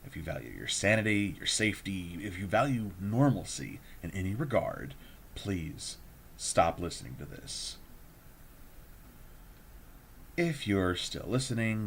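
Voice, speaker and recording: Deep-voiced, haunted courier acted by a man. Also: a little hiss or hum. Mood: grave warning. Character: weary, haunted, paranoid male courier recording secret confessions. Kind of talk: audio drama monologue as confessional recording.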